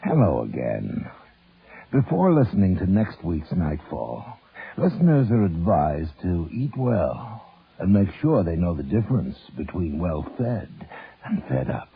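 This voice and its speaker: Gravelly deep-voiced sinister male horror host. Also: big pitch swings, measured pace. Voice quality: husky, gravelly. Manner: sinister, wry, dramatic. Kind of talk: theatrical scripted horror radio hosting. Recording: thin phone-like old radio recording.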